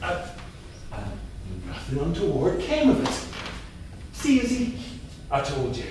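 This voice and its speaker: A man's voice: fairly high pitch, huge pitch swings, slow pace, exaggerated voice. An actor in a staged play reading, playing a domineering, volatile husband and businessman with an emphatic delivery. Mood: proud, jovial. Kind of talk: staged reading, theatrical dialogue. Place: indoor theatre at a staged reading. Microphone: distant room microphone. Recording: distant, very echoey theatre room, faint noise.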